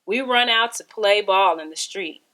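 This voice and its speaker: A woman reading a children's story aloud in an expressive, deliberate storytelling style. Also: medium pitch, low-quality recording. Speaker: read-aloud storybook reader.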